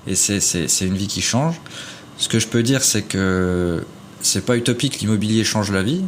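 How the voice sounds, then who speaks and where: A man speaking as a podcast guest in an apartment, earnestly handing out advice. His voice is low-pitched and broken up by frequent fillers. A faint hiss sits behind him. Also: normal speed. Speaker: guest, property investor. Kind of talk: casual interview chat. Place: apartment rental abroad.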